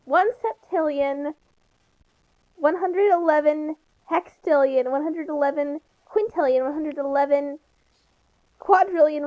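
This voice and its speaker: A woman with a high voice on a slightly dull, lo-fi channel. She comments casually and jokingly, sounding wry and a bit exasperated as she feels her way through sentences.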